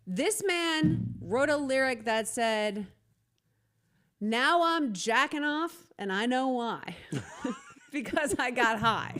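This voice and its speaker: Woman, high-pitched, podcast co-host, brash, swears freely, quick laughs. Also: lively intonation, fairly bright tone. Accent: General American, Boston accent trained away. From Boston area. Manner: profane, emphatic, laughs often. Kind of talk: casual podcast banter.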